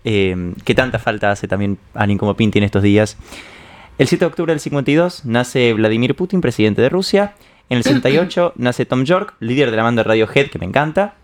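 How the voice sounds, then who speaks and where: A man rattles through a list of historical dates at a quick clip. His voice is an ordinary male middle, and his reading is matter-of-fact, with a small personal aside here and there. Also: medium pitch, lively intonation. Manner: brisk, matter-of-fact listing. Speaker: radio co-host reading anniversaries.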